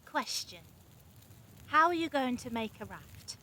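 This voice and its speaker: A woman playing a sensible, scientific-minded peacemaker among captives, reasoning crisply in an audio drama. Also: high voice, expressive, unhurried, sharp tone, heavily acted. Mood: questioning. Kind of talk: fan audio drama acting.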